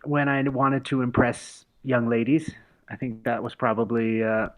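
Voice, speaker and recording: A man's voice: medium pitch, fairly even intonation, measured pace, low-quality recording. A man in his forties from the United States, a podcast guest telling stories in casual conversation, laughing often and restarting with fillers. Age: 40s.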